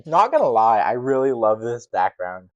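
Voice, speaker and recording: Man, mid pitch, lively swooping intonation, jokey, teasing, chuckle-prone, casual co-host banter. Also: moderate pace, lo-fi sound.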